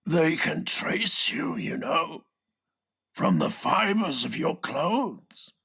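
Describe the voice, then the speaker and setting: A rasping, eccentric old lord from a comic audiobook. The voice is cracked and husky, drags words out slowly, and sounds haughty, dotty and easily offended. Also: male voice, a little high, sing-song, bright, forceful tone, voice pushed up, full character voice. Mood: gleefully defiant. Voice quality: creaky, rasping. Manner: dramatic, drawn-out delivery. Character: cackling, eccentric, indignant elderly English lord. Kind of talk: comic audiobook character reading.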